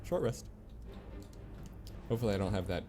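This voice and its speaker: A man's voice: lowish voice, moderate pace, mellow, a little hiss or hum. A man giving casual live commentary on a video game, muttering to himself with short exclamations.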